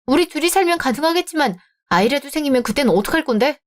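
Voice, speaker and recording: A young woman performs a radio drama, speaking as a firm, hurt heroine who stands her ground. Her voice sits on the higher side. Her lines come out clear and insistent. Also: expressive, fast talker. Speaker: narrator and heroine.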